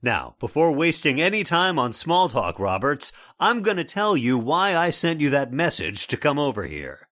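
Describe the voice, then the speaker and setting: A man's voice: fairly high pitch, big pitch swings, normal speed, hardly any pauses, fairly bright tone. A man playing a brash, wealthy middle-aged American father, blunt and bossy, in an old-time radio drama style. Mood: blunt, indignant.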